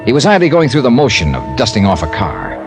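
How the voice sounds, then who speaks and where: Hard-boiled insurance detective, old radio show, lowish voice with lots of swing, dry and wry. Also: male voice, huge pitch swings, fast, over music. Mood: tense narration. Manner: wry, clipped, matter-of-fact. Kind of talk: 1950s radio detective acting and narration.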